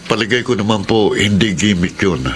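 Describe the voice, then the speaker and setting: A low, deep Filipino son played by an actor in a radio drama. He speaks respectfully and earnestly, as to a parent. A faint noise runs behind him. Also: normal speed, natural voice. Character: respectful grown son reassuring his mother.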